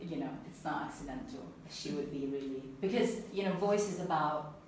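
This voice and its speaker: A woman with an Italian accent giving an earnest, animated personal talk to an audience, then answering questions. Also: deep voice, fairly quick. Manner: earnest, animated, laughs easily. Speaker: speaker at a talk. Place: event room with an audience. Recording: echoey room, mic at a distance, faint noise.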